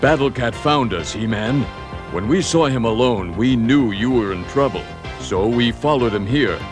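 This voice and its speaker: Man playing a steady, loyal warrior. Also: mid-range voice, normal speed, music in the background, close to an everyday voice. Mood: earnest. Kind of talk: 1980s children's audio storybook acting.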